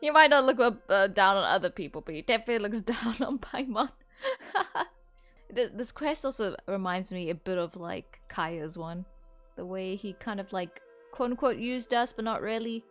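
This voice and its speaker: A woman's voice: medium pitch, animated, moderate pace, a bit bright, faint music behind. A woman hosting a casual game reaction, chatty and laughing often. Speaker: video host reacting to a game.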